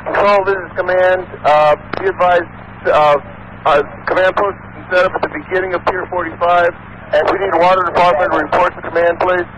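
A man's voice: high-pitched, little pitch movement, a little fast, highs cut off. A man acting as fire incident commander, giving instructions over a two-way radio. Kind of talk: emergency radio traffic. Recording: faintly noisy radio scanner feed.

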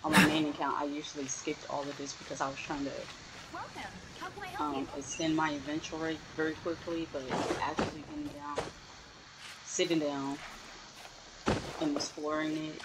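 A woman's voice: sits low, expressive, normal speed, pauses often, very noisy. A woman giving casual, chatty gameplay commentary with wry asides. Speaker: gaming streamer. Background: loud game music.

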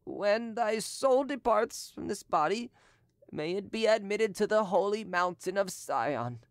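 Falsely pious murderer, man, read by a woman, hushed solemn prayer, dry close sound. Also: mid-range voice, animated, measured pace, no echo, voice pushed up, close to an everyday voice. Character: scheming, falsely pious male poisoner.

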